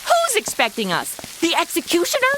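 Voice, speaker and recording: A woman's voice: very high voice, huge pitch swings, a little fast, full character voice. A woman playing a brash, sarcastic, whiny modern American sidekick in a comedy audio drama, full of slang. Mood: alarmed, sarcastic. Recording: noisy, rain-like hiss behind.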